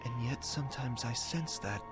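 A man's voice: medium pitch, moderate pace, loud music behind the voice, close to an everyday voice. An actor playing an earnest young hero in a radio drama, a young man who is conflicted and anguished. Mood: searching, hushed. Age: young adult. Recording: loud orchestral score behind.

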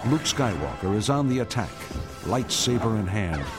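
A man narrating a radio drama in a dramatic, grave, portentous and measured way. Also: fairly low pitch, sing-song, music in the background. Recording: orchestral score behind the voice.

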